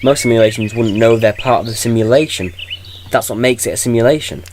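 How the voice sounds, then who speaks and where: A man's voice: medium pitch, steady tone, quick pace, noisy background, lightly acted. A man playing a flippant, eccentric time-travelling hero in an audio drama, glib and insistent. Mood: questioning, defiant.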